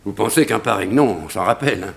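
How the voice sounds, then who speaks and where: A man's voice: on the low side, lively intonation, fast, gravelly. An actor playing a grumbling but obliging cemetery watchman, hesitant and colloquial, in a 1960s French radio serial.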